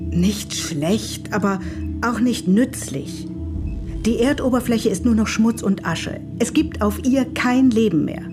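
An actress in a German radio play playing a detached, irritable mother and lecturer, speaking in a measured, formal, didactic way. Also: a little high, over music, lightly acted. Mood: cool, disapproving. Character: Vashti, detached, irritable mother and lecturer in machine-run future.

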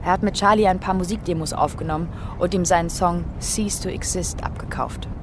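A woman's voice: lowish voice, a little fast, close to an everyday voice. An actress playing a young hippie mother from a commune, recounting matter-of-factly in a radio drama. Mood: reminiscing. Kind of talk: German radio drama monologue.

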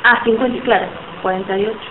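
Woman interviewer, soft backchannels, tinny tape, faint hiss. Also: a little high, steady tone, fast talker. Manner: brief supportive backchannels. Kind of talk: oral history interview.

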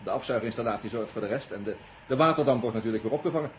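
An actor playing a chatty, jokey, excitable astronaut in halting, informal 1960s radio drama style. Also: male voice, medium pitch, close to an everyday voice. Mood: breezy, instructive. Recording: noisy vintage 1960s radio recording.

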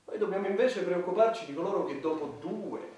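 Actor as pompous president in an echoey room. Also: male voice, sits high, a little mellow, natural voice. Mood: bureaucratic, unruffled. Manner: emphatic, officious, deliberate with drawn-out words. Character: pompous male head of state chairing war council. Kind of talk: satirical radio play acting. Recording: very echoey room, far from mic, faint noise.

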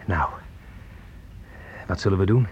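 A man's voice: sits low, animated, a little slow, slight background noise. An actor in a Dutch radio play playing a menacing, needy fugitive who resents his mother, brooding and needling. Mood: menacing, taunting. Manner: brooding, needling, childishly aggrieved. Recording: muffled old radio play recording.

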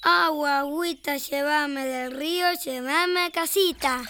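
A woman's voice: high voice, fairly even intonation, measured pace, bright, forceful tone, slight background noise, full character voice. A woman playing a sweet, curious little city girl in children's radio theater, wide-eyed and inquisitive, with an Argentine accent. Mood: affectionate.

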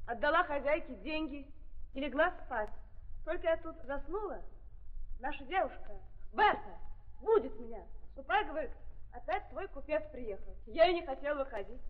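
A woman's voice: high voice, expressive, normal speed. An actress playing a weary, frightened young woman on trial, speaking plainly and insistently in 1930s stage radio style on an old recording. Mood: subdued, recounting. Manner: plain simple speech, repetitive insistent denials. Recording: tinny, narrow-band 1930s archive recording.

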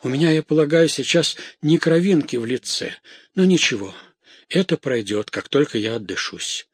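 Casting: male narrator as frightened young maidservant, high-pitched, husky, breathless and garrulous, gothic storybook. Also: animated, moderate pace, dry recording, studio quality. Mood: flustered rambling. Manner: breathless, exclamatory, rambling.

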